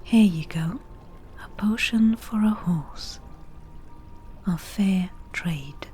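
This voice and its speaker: A woman voicing a laid-back witch brewing potions, whispering breathily and matter-of-factly in an unhurried ASMR roleplay. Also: mid-range voice, a little mellow, faint music behind, close to an everyday voice. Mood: nonchalant. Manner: unhurried, matter-of-fact, casual.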